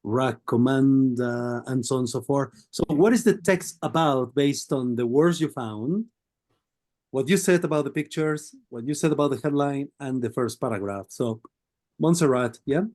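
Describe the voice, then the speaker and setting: A man's voice: medium pitch, normal speed, darkish tone. A man teaching an online class over a video call, patiently prompting students with questions. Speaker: language teacher.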